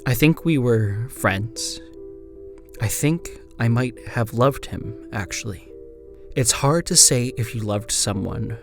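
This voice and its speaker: A man playing an amnesiac old-time detective, narrating a grim first-person account in a horror audio drama in a measured, solemn way. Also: on the low side. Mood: mournful. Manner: measured, solemn, literary delivery. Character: amnesiac former Pinkerton detective writing a grim final account.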